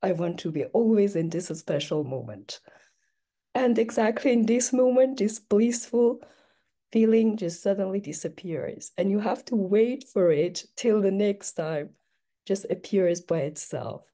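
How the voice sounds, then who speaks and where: Young Persian-native woman, mellow, hesitant. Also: mid-range voice, animated, unhurried. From Iran. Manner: hesitant, reflective, many fillers. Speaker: participant. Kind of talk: casual chat. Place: home room, indoors. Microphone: video call.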